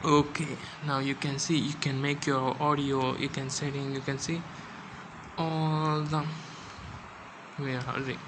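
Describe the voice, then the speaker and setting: A video channel host narrating an app review and tutorial in a halting, repetitive way. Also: mid-range voice, little pitch movement, background noise.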